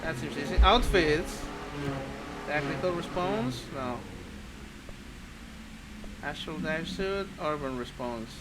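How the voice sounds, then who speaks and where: A man's voice: a little high, animated, slow pace, pauses often, loud music behind the voice, low-quality recording. A man streaming a video game, chatting casually in a rambling, profane way with frequent tangents. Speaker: streamer, VTuber. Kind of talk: casual gaming commentary.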